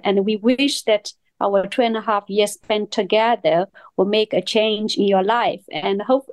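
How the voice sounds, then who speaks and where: A woman's voice: mid-range voice, no room sound. A woman co-hosting a podcast in English, which is not her first language, speaking earnestly in simple phrasing. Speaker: podcast co-host. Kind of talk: casual podcast chat.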